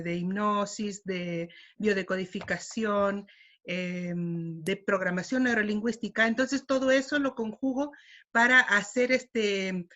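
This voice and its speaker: A woman therapist introducing herself on a video call, warm and hesitant with many fillers. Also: a little high. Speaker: emotional healing therapist. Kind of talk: self-introduction. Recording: dull lo-fi call audio.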